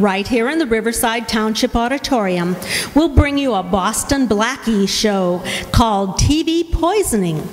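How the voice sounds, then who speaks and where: A woman announcing upcoming shows from a stage in a chatty, scripted promotional style. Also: fairly high pitch. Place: auditorium stage, live. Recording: reverberant auditorium.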